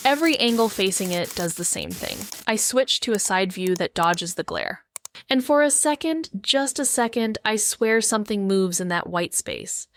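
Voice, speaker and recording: A woman's voice: medium pitch, animated, moderate pace, slight background noise, close to an everyday voice. A wry, paranoid woman sleuth played by an actress narrates a noir audio drama. Her delivery is sardonic and brooding, with a bitter edge and a mid-range voice. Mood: uneasy.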